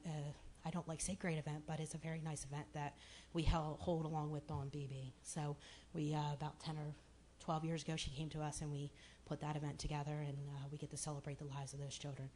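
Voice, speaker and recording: Raspy-voiced woman chatting at a meeting. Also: sits low, a little mellow, a little hiss or hum. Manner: chatty, full of fillers and restarts. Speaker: city official at commission meeting. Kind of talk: public address at a meeting. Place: city commission meeting room.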